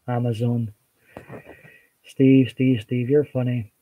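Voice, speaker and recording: A man's voice: mid-range voice, takes it slowly, narrow, tinny audio. Chatty Scottish man reading live comments. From Scotland. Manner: chatty, relaxed, with hesitant restarts. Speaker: livestream host. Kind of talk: casual chat reading viewer comments.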